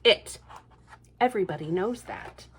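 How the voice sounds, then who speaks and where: A woman reading aloud, voicing a calm, matter-of-fact mother who brushes off her child's worries. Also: mid-range voice, a little slow, husky, heavily acted. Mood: knowing.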